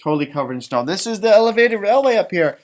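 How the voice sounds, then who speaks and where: Expressive American man reading to children. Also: sits high, fast. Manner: expressive, stretches words for emphasis, explains simply to children. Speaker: elementary music teacher reading storybooks aloud. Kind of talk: children's picture-book read-aloud with chatty asides.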